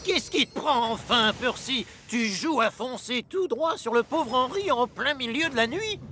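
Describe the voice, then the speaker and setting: A grumpy, sleepy engine. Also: male voice, extremely high pitch, lively intonation, a little slow, heavy background noise. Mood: annoyed. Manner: grumbling, exasperated. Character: grumpy sleepy male steam engine, fed up. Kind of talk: French cartoon dub.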